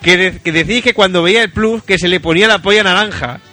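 A man with a Spain Spanish accent hosting casual comedic radio chat in a crude, jokey, excitable manner. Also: high-pitched, quick pace, faint music behind. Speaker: radio host.

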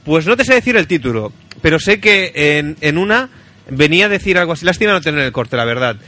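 A man with a Spain Spanish accent hosting casual comedic radio chat in a crude, jokey, excitable manner. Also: a little high, quick pace. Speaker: radio host.

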